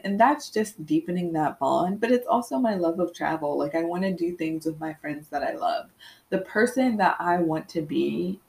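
Solo podcast host: young woman, low voice with vocal fry, candid and reflective, a bit stuffy-nosed. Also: darkish tone, low-quality recording. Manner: candid, reflective, with frequent ums. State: congested with sniffles.

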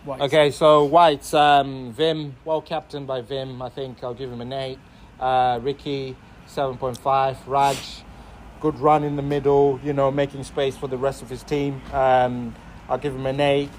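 A man's voice: medium pitch, flat delivery, a little slow, a little hiss or hum. A man, an amateur footballer, talking casually through a match just played. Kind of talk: casual post-match chat.

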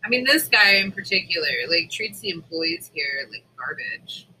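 A woman with a very bright, sharp-edged voice chats as a podcast co-host. Her delivery is loose and slangy, full of casual swearing. Faint noise sits in the background. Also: fairly low pitch, expressive, normal speed, low-quality recording. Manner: slangy, peppered with "like", swears freely.

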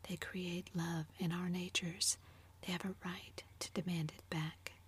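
A woman whispering the lines of an earnest young man in a storybook reading, in a low, flat hush. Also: unhurried, breathy edge.

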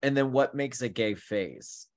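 A man in his thirties co-hosting a podcast, telling stories in an animated, chatty way with lots of fillers. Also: medium pitch, unhurried. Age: 30s. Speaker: podcast co-host; counseling student. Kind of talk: casual chat.

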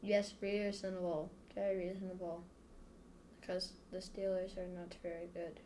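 A woman's voice: fairly low pitch, flat delivery, moderate pace, warm tone, a little hiss or hum. A woman guest on a casual sports podcast, speaking in a laid-back and sometimes hesitant way. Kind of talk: casual sports picks chat.

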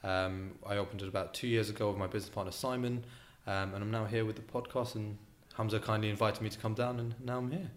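A man's voice: on the low side, steady tone, fast. A man who co-owns a restaurant, answering interview questions in a casual, hesitant way with repeated words and ums. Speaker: restaurant co-owner, guest. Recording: roomy echo.

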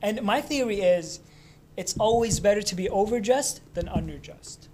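A man's voice: sits high, animated, normal speed, darkish tone, slight background noise. A young man from Lebanon chatting casually, telling stories in a loose, profane way. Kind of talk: casual chat.

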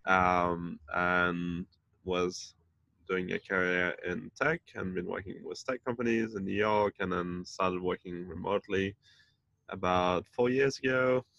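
A man in his thirties from France and Denmark, a community founder talking casually as a podcast guest, with many pauses and fillers. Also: sits low, fairly even intonation, creaky, a bit bright, dry recording, dull audio. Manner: hesitant, many fillers and restarts. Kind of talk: casual interview chat.

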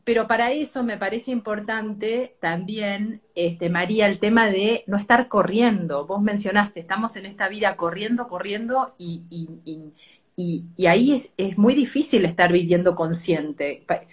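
A woman with a Rioplatense accent hosting an interview, speaking gently and hesitantly with many fillers. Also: medium pitch, moderate pace. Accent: Rioplatense Spanish. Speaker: interviewer, host. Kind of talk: interview, conversational.

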